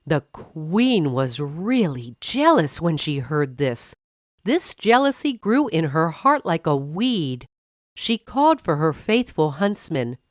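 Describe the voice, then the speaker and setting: A woman storyteller with a low voice reads a children's fairy tale. Her phrasing is careful and unhurried, with a very lively melody. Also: dry recording, studio quality.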